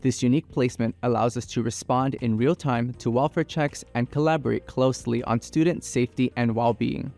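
A man giving a measured, informative scripted presentation about his team. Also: medium pitch, gravelly, darkish tone, soft background music. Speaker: team member.

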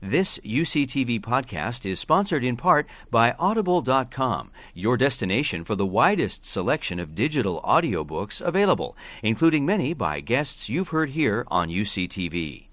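A male announcer reads a podcast intro and sponsor spot with a very animated pitch. The recording is dry and close. His tone is steady and promotional. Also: moderate pace, few pauses, no room sound.

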